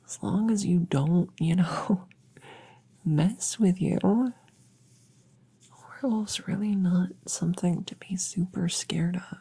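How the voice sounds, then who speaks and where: Friendly female witch vet, acted by a woman for ASMR roleplay: mellow, unhurried, gentle, goofy, halting and reassuring. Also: lowish voice, heavily acted. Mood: casual, explaining. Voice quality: soft, breathy, often whispering, with creak. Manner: gentle, chatty, halting, teasing. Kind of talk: soft ASMR audio roleplay.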